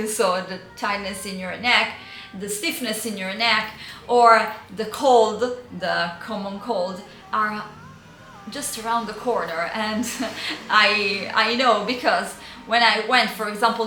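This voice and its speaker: A woman with an Italian accent, a native Italian speaker, giving casual style advice in a vlog, often pausing to search for words. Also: medium pitch, roomy sound, a little hiss or hum, rough recording. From Italy. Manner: hesitant, searching for words, frequent fillers and soft laughs. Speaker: vintage style vlogger and yoga teacher.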